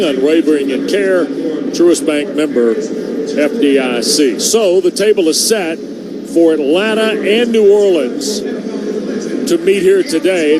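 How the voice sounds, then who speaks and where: High-voiced male football announcer, noisy stadium. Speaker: radio play-by-play announcer. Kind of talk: live football broadcast commentary. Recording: clear stadium noise behind.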